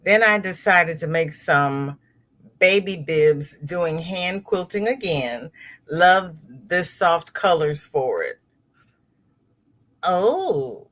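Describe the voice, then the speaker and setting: Slow, lively-voiced woman hosting a craft stream. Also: lowish voice. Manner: warm, chatty, reads comments aloud and greets viewers by name. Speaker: host of a crochet livestream. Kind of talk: casual livestream chat reading viewer comments.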